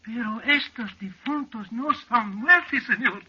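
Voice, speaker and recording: An actor playing a frightened, stubborn desert helper in a 1940s radio drama, speaking emphatic broken English mixed with Spanish in a Mexican accent. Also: male voice, extremely high pitch, moderate pace, sharp tone, slight background noise, heavily acted. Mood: scared, insistent. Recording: muffled vintage radio recording.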